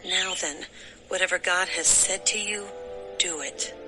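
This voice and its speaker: An actress playing a resolute wife, firm and emphatic, in a dramatized scripture recording. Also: female voice, lowish voice, measured pace, over music, lightly acted, poor audio. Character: resolute biblical wife urging her husband.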